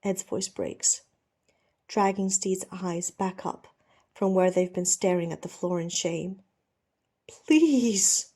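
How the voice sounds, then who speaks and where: A woman narrating fiction as an audiobook reader, measured and expressive, with a dramatic storytelling style. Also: lowish voice.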